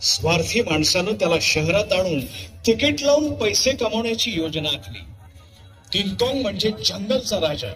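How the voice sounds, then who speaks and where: A man giving scripted, dramatic narration as a festival show announcer, in declamatory, measured phrases. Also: high-pitched, very animated, fairly bright tone. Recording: clear music behind the voice.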